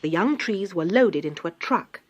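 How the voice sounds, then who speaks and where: A woman reading a children's story in an expressive, theatrical narrator's style. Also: on the low side, normal speed, highs cut off, a little hiss or hum. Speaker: storybook reader.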